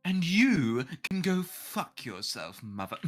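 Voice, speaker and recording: Woman as sullen, slow-talking young prince. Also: deep voice, sing-song, faint music behind, full character voice. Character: sullen, sarcastic young prince, resentful son. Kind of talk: visual novel character read aloud.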